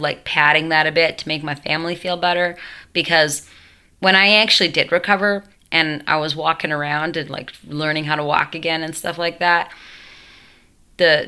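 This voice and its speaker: A woman's voice: sits low, bright, forceful tone, smooth. A young woman vlogging at home, telling her story in a rambling, self-interrupting way full of fillers, her voice changed after a tracheotomy. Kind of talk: casual storytelling to camera.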